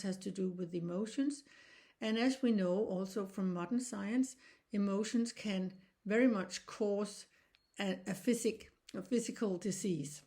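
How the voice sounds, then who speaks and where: A Danish woman in her sixties, a reflexology teacher speaking English as a second language, explaining in an earnest, halting way with frequent restarts. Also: medium pitch, a little mellow. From Denmark. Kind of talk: informal online lesson.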